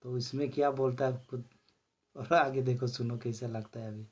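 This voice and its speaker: A man hosting his own channel, chatting casually to viewers and explaining a recorded call. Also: medium pitch. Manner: relaxed, explains slowly with fillers. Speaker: channel host.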